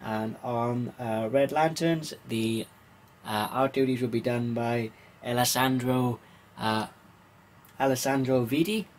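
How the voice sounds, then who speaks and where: A man from Wales gives an informal vlog-style news rundown in a halting, hesitant way, pausing often with ums. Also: medium pitch, fairly even intonation, a little hiss or hum. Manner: halting, hesitant delivery with frequent pauses and ums. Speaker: comics vlogger.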